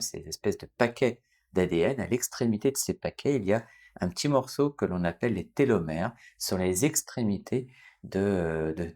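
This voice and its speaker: Low-voiced French doctor explaining, hesitant. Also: male voice, a little mellow. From France. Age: 40s. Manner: explanatory, many hesitations and self-corrections. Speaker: doctor and channel host. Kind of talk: unscripted educational talk to camera.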